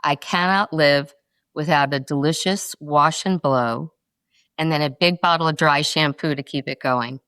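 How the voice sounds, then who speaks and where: A middle-aged woman from North Carolina, a podcast guest answering interview questions in quick, expressive stories. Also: sits low, clear, resonant tone, no echo, studio quality.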